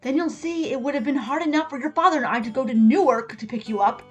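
A woman voicing a condescending, scolding grandmother in a story, brisk and unhelpful. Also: sits high, breathy edge, faint music behind, voice pushed up.

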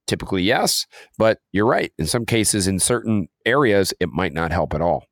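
A man with a somewhat low voice speaks as a podcast co-host. He is agreeable and conversational, reasoning through points as he talks. The recording is dry, with no room sound. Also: lively intonation, normal speed, fairly bright tone, dry recording. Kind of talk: casual music industry discussion.

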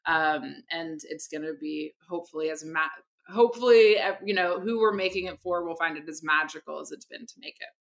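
A woman from Barbados, a producer who is a guest in a conversational interview, talking in a lively way. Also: low voice, a little fast. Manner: lively, laughs through her stories.

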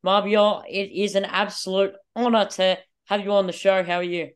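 A man's voice: high-pitched, level tone, moderate pace. A man hosting an interview podcast, asking eager, upbeat questions. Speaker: podcast host.

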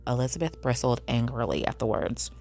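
Very low-voiced woman reading fiction. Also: animated, fairly quick, darkish tone, soft background music. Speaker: guest story reader. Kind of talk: scripted fiction narration.

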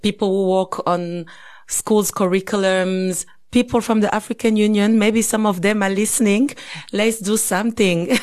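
A woman in her thirties from Congo, co-hosting a podcast, speaking reflectively and thinking aloud with frequent pauses and restarts. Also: medium pitch, steady tone, a little mellow. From Congo (DRC). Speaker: podcast co-host. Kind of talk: casual conversation.

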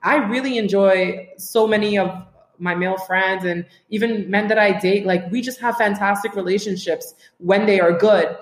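A woman's voice: medium pitch, normal speed, hardly any pauses. A woman from Trinidad and Tobago, raised in the US, talking as a guest in a casual podcast conversation, frank and emphatic. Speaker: guest, writer. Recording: roomy echo.

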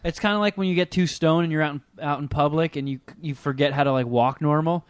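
Profane, self-mocking podcast host. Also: male voice, a little high, fast talker, clean tone, no echo. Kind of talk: casual podcast chat.

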